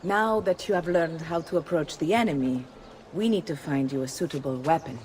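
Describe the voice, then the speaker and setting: A woman's voice: deep voice, a little fast, a little mellow, a little hiss or hum, lightly acted. A woman voicing a poised, shrewd mentor and madam with an Italian accent. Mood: instructive. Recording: long reverb, faint music.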